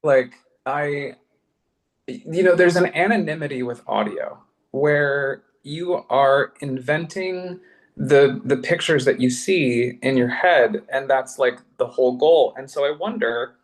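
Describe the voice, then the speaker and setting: A man's voice: medium pitch, takes it slowly, rough recording. A man speaking conversationally in a panel discussion as a podcast producer, effusive and emphatic, thinking aloud in casual slang.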